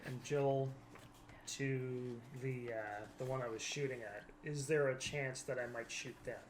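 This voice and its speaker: A woman's voice: extremely low pitch, steady tone, measured pace, slight background noise. A woman playing a tabletop game, chatting casually with the other players.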